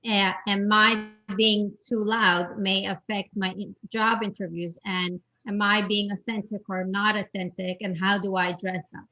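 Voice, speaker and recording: A woman's voice: mid-range voice, flat delivery, a little slow, hardly any pauses, pushed and bright. A woman from Europe gives advice as a guest expert in a panel talk. Her voice is strong, and she restarts often and repeats words as she goes. The sound is dull and lo-fi, like an online call.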